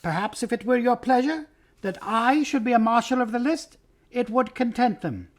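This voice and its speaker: A man voicing a small, valiant talking mouse, shrill and ceremonious, proud and touchy about honor, in a storybook reading. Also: animated, normal speed. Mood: courtly pleading. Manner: formal, ceremonious.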